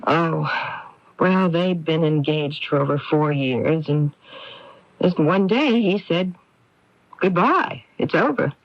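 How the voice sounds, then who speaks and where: A middle-aged actress playing a poised, brisk, reassuring newspaper columnist in a radio drama. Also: female voice, low voice, lively intonation, exaggerated voice. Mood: guarded, informative. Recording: dull, dry radio broadcast tape.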